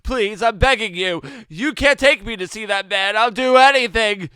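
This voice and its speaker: A timid, cowardly man, pitched far above the reader's own voice. He is nervous and pleading, stammering in a clear, dry sound. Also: moderate pace, sharp tone, no room sound. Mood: desperate begging. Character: meek, cowardly, panicky man begging for his life.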